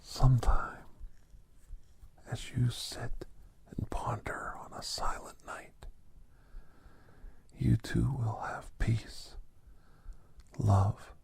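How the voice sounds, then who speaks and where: Man playing a slow gravelly whispering storyteller. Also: mid-range voice, fairly even intonation, exaggerated voice. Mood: kindly, hushed. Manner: slow, hushed, halting short phrases. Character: gentle reflective male doctor telling a holiday story.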